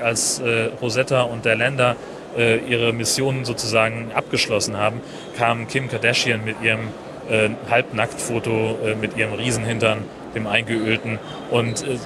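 A man hosting his podcast in a chatty, relaxed way with many fillers and restarts, recorded outdoors at a busy conference venue. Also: mid-range voice. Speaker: podcast host. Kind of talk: casual solo podcast recap. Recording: noisy outdoor background. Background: crowd and traffic ambience.

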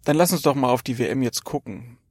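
A man's voice: medium pitch, quick pace, darkish tone, dry recording, studio quality. A man, co-hosting a podcast, who asks questions and coughs now and then. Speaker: podcast co-host asking questions. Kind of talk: casual podcast chat.

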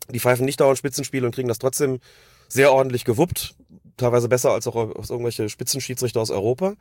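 A man's voice: mid-range voice, darkish tone, no room sound, clean studio sound. A man with a slightly congested voice from a cold, giving casual, wordy, considered football commentary on a podcast. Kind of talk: casual analytical podcast talk.

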